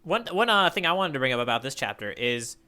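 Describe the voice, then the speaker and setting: Bright-voiced man in casual conversation. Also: mid-range voice, big pitch swings, fast talker. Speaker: podcast co-host. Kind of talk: casual chat.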